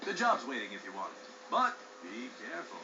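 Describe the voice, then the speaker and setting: A man's voice: medium pitch, huge pitch swings, brisk. A man voicing a vain, grandiose racing show host with a sly, hammy delivery in a game cutscene. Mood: slick, sly. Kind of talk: showy announcer acting in game cutscene. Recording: dull game audio with music behind.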